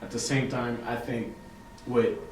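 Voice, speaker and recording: A man's voice: mid-range voice, unhurried. Chatty young man from Haiti, echoey room. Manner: relaxed, chatty, many fillers and "you know". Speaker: poet and writer, guest. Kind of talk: casual interview chat. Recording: echoey room, mic far off, noisy.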